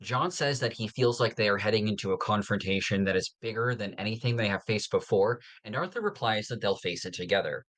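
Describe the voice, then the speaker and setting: A man's voice: on the low side, brisk, few pauses, a bit bright. A man co-hosting a podcast in a casual, conversational style. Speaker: podcast co-host.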